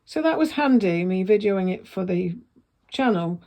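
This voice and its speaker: A woman with a croaky voice, chatting casually through her sewing work and correcting herself as she goes. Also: mid-range voice, animated, normal speed, mellow. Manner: chatty, self-correcting, thinks aloud while working. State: croaky voice, coughing. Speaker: sewing vlogger. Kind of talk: casual sewing vlog narration.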